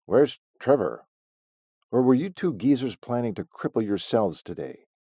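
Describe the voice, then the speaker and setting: A man's voice: medium pitch, sing-song, normal speed, dark tone, slightly rough, dry recording, studio quality, voice pushed up. A man voicing a stern, impatient middle-aged ranch boss who talks tersely. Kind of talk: audiobook character voice.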